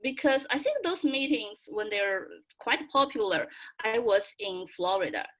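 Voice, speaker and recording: A young adult woman from China with a Chinese accent, chatting casually in halting, excitable, giggly English on a video call. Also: on the high side, rough recording. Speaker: student and fan club member.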